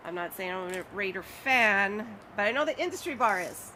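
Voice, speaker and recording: A middle-aged woman hosting a live chat show in a loose, talkative way. She laughs easily and drops Spanish words into her English. Traffic noise and passing vehicles hum clearly behind her. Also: mid-range voice, animated, normal speed, pushed and bright. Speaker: show host. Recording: noisy background with passing vehicles.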